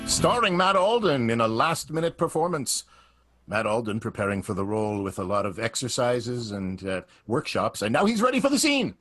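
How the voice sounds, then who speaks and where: A man's voice: medium pitch, sing-song, moderate pace, a bit bright. A man hosting and narrating an improvised radio drama with wry, dramatic announcing. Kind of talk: lively scripted-sounding improvised narration. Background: plucked guitar music.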